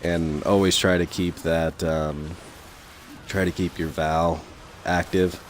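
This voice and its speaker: Low-pitched man, slightly raspy, rambling game commentary, lots of ums, faint background hiss. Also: little pitch movement, takes it slowly. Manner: rambling, many fillers and restarts. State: slightly raspy from long talking. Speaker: gameplay commentator.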